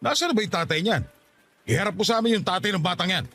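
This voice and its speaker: An indignant, protective Filipino father in a radio drama. He talks fast and emphatically in a slightly high voice, with faint music behind. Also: expressive. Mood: stern. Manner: stern, emphatic.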